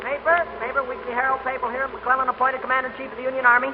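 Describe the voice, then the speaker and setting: A young man acting an eager, stammering, fast-talking boy inventor in a 1940s American radio drama. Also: very high-pitched, level tone. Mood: hawking, calling out. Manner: stammering, pleading, earnest. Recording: dull, noisy old radio broadcast recording.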